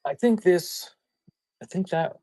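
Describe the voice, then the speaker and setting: A man chatting casually in a folksy, halting way as he hosts a live sale and shows items. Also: high-pitched, animated, mellow, no echo. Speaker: live auction seller hosting a stream. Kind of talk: casual rambling chat while showing items for sale.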